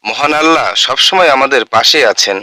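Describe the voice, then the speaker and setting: A man playing a calm, devout young village friend in a cartoon, speaking in a steady, reassuring way. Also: mid-range voice, brisk.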